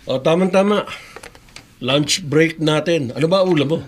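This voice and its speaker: A man's voice: a little high, moderate pace, faint music behind, natural voice. A man playing a chummy, casual workmate in a Filipino radio drama. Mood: friendly, teasing. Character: chummy workmate at lunch break.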